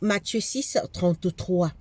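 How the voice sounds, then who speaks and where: A woman's voice: lowish voice, takes it slowly, a little mellow. Fervent woman preacher with a cold. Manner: fervent preaching, repeats phrases for emphasis. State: has a cold, congested. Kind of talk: sermon.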